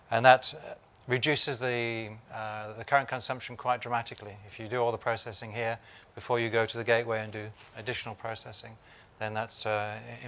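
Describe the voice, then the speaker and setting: Smooth-voiced man explaining tech on stage. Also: medium pitch, fairly bright tone. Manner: technical, with frequent "uh" fillers. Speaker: conference presenter. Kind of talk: technical product explanation. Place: conference stage.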